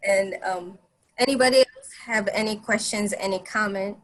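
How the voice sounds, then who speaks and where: A woman's voice: medium pitch, moderate pace, a bit bright, poor audio. A woman whose first language is Arabic, talking in a casual group discussion with many fillers and restarts. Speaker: presenter on language barriers.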